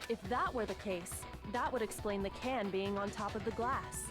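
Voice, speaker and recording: A calm, assured teenage detective girl played by a woman in an anime game. She speaks precisely at a middling pitch for a woman, with clear music behind her. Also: moderate pace, natural voice. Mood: calm certainty.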